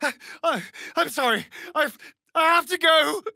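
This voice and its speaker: A man's voice: very high-pitched, unhurried, creaky, bright, forceful tone, no echo, full character voice. Sardonic ancient vampire, arch and theatrical, video game style, takes his time, close dry sound. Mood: desperate, furious. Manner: arch, drawling, flamboyant delivery.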